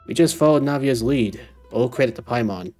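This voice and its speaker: A woman's voice: very deep voice, brisk, dark tone, soft background music. A woman streaming a video game with casual live commentary and dry, wry remarks.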